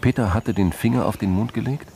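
A man narrating a scripted crime drama in a measured, sober storytelling manner. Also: lowish voice, mellow, a little hiss or hum.

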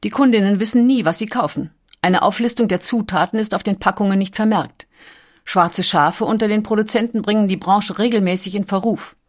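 A woman's voice: medium pitch, brisk. A woman reading a scripted documentary audiobook as its narrator. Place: recording studio.

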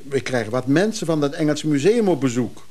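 An actor playing a grumbling, reluctant lover in a Dutch radio play, with a blunt, grudging way of talking. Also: male voice, fairly high pitch, animated, a little fast, warm tone. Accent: Netherlands Dutch. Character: grumbling, reluctant male lover, Freek Keverling.

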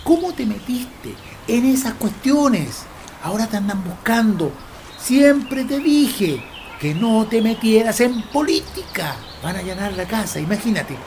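A woman's voice: a little high, a little hiss or hum. A woman voicing a man in his seventies with memory loss, anxious and disoriented, in a community radio drama. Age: 70s.

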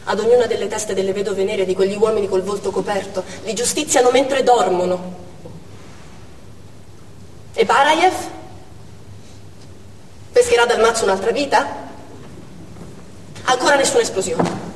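An actress playing an anguished, urgent woman doctor in a dramatic stage monologue, speaking intensely and deliberately in short phrases. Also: mid-range voice, natural voice. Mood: tense. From Armenia (the character). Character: Armenian woman doctor recounting a theatre siege, anguished, urgent. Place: indoor theatre stage. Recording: echoey theatre hall, distant mic, faint noise.

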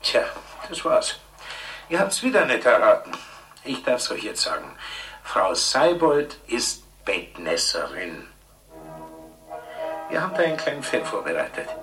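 A genial television quiz host in a radio satire, chatty and folksy with a southern German accent. His pitch swings freely as he rambles along, recorded a little way off with faint music behind. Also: huge pitch swings, a little slow, long pauses, a bit bright, distant mic. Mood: chummy, breezy. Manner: folksy, chummy, rambling patter. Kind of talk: satirical radio play acting.

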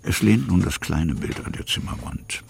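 A man narrating a literary radio drama expressively, with a gravelly, husky voice and a musing way of savouring phrases. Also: low voice, big pitch swings, normal speed, background noise.

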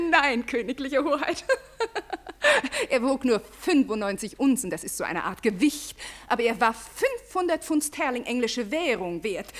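Radio drama: deferential, gossipy aristocratic lady. High, very animated voice, effusive delivery, theatrical emphasis. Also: full character voice. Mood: amused. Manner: effusive, dramatic storytelling with emphatic drawn-out words. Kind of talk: German radio play acting.

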